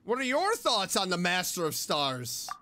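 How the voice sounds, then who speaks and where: Female streamer, blunt sceptic, animated delivery at an easy, slow pace, faint game music behind. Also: lowish voice. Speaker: streamer playing and reading along. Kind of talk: casual reactions to a game.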